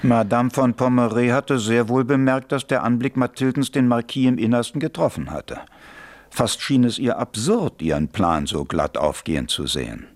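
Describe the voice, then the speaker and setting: An actor playing an urbane storyteller, giving polished, expressive literary narration in a radio play. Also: male voice, mid-range voice, normal speed. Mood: measured, knowing. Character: urbane male storyteller of an intrigue.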